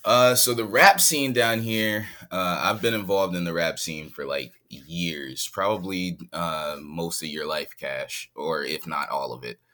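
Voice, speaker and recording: A man's voice: low-pitched, measured pace, hardly any pauses, pushed and bright. A man from San Diego, living in Hawaii, chatting casually as a guest on a podcast, laid-back and laughing easily. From San Diego, California. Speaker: rapper guest. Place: home in Hawaii, remote call.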